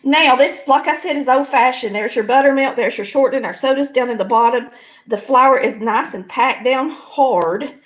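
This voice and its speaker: A woman with a Southern US accent and a raspy, hoarse voice that sits a touch high. She talks folksily and explains every step as she cooks in her home kitchen, sounding middle-aged. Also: moderate pace. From American South. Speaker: home cooking show host. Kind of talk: casual cooking demonstration.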